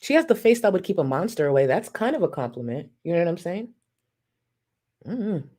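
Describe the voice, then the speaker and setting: A woman's voice: on the low side, very animated, a little fast, warm tone. A woman streaming live, reacting to a video and reading out super chats with sassy, profane, mocking commentary and frequent laughter. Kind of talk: casual livestream commentary.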